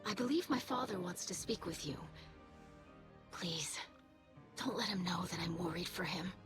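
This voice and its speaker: Earnest young alien scholar, breathy and soft, game score under voiced by a woman. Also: fairly low pitch, moderate pace, close to an everyday voice. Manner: earnest, thoughtful, soft delivery. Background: music.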